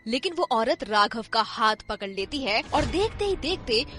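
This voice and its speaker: A woman with a fairly high voice narrates a scripted horror tale dramatically. Soft music plays behind her. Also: sing-song, normal speed. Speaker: story narrator. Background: background music.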